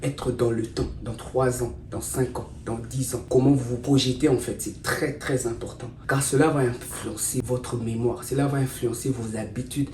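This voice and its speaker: A man's voice: mid-range voice, fairly even intonation, normal speed, hardly any pauses, warm tone. A man from Congo, giving a motivational talk to viewers as a content creator, stressing points emphatically. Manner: emphatic, addresses viewers directly as "guys", repeats for stress. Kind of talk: motivational self-help talk. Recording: roomy and distant with faint noise.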